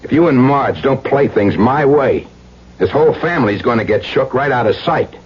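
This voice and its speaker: An actor playing a cold, taunting criminal on the run, talking tough, domineering slang in an old-time radio drama. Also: male voice, medium pitch, moderate pace, husky, close to an everyday voice. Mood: menacing. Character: menacing armed fugitive criminal, cold and taunting. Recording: muffled radio recording with hum and noise.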